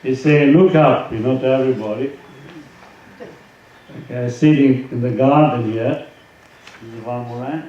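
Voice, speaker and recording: A man speaking as a swami, giving an informal spiritual talk and reading aloud from a book, with halting conversational asides. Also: mid-range voice, warm tone. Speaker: swami, yoga teacher. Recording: roomy echo, distant mic, dull sound, faint noise.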